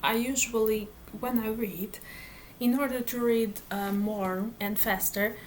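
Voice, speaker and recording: A woman's voice: on the high side, fairly even intonation, measured pace, slight background noise, poor audio. A woman whose first language is Portuguese, chatting casually to camera about her reading plans, hesitant, with many fillers and restarts. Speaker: book vlogger.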